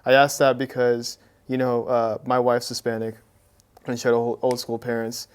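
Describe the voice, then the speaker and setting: Flat-voiced man hosting an interview. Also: mid-range voice, moderate pace, smooth. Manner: relaxed, lots of short affirmations. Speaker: host. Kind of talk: casual interview.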